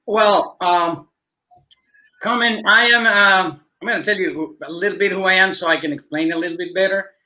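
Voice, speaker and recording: Bright-voiced man. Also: high voice, fairly even intonation, low-quality recording. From Ecuador, raised in Cuba. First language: Spanish. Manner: earnest, halting with many fillers. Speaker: guest musician. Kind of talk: conversational interview answer.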